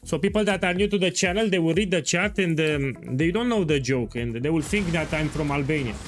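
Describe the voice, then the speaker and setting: A man's voice: a little high, lively intonation, fairly quick, faint music behind. A man chatting casually and explaining his gameplay to a stream audience, repeating his points. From Romania. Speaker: game streamer.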